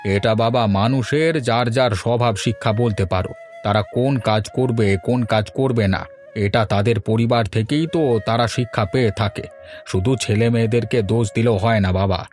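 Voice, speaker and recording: A man's voice: mid-range voice, steady tone, normal speed, a little mellow, soft background music, close to an everyday voice. A man voicing a kindly elderly village father-in-law in a cartoon fairy tale, affectionate and given to homely moralizing.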